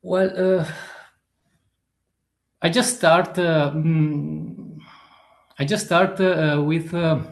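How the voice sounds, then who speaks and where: A man in his fifties with an Italian accent, an author answering interview questions haltingly and searching for words in a non-native language. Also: a little high, fairly even intonation, creaky, echoey room. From Italy. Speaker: author guest.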